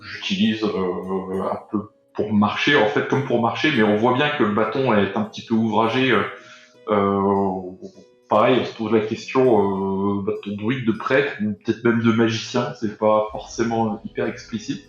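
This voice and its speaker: A man in his thirties playing a tabletop game, slowly and hesitantly describing his character. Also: lowish voice, fairly even intonation. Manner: slow and hesitant, many fillers. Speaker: player. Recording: very echoey, dull lo-fi sound with faint music.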